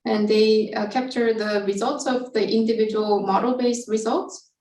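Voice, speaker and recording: Echoey room, a bit far from the mic, woman, higher pitch, hesitant explanatory delivery, scientific webinar. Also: steady tone, normal speed, a little mellow. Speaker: researcher presenting study methods.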